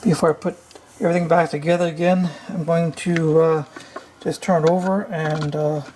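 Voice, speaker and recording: A man giving an unscripted, hands-on repair walkthrough, thinking aloud in a halting, tentative way. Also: fairly high pitch, little pitch movement, darkish tone, slight background noise. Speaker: repair tutorial host.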